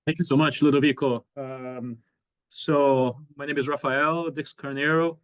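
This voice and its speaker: A man's voice: mid-range voice, steady tone, a little slow, clear, resonant tone. A man in his forties from Brazil, an economics professor giving an academic lecture over a video call, with frequent fillers and restarts. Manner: hesitant, many fillers and restarts. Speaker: economics professor, guest speaker.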